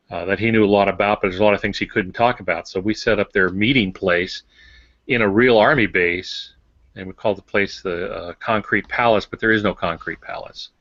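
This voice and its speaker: An older man giving casual, encouraging writing advice as a podcast co-host, in a measured manner. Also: lowish voice, fairly bright tone, dry recording. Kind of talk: casual instructive talk. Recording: dry, muffled lo-fi online-call audio.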